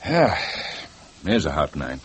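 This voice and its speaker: An actor playing a calm, firm male police detective who questions a suspect in a 1950s radio drama. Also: very deep voice, measured pace, heavily acted. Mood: probing. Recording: old radio recording with faint hiss.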